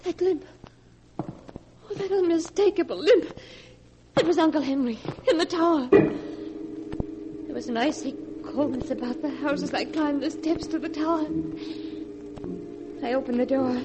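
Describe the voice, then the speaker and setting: A woman's voice: high-pitched, measured pace, long pauses. A young woman playing a fearful, haunted heiress, earnest and melodramatic, in 1940s radio horror acting. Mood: haunted narration. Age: young adult. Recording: noisy 1940s radio recording.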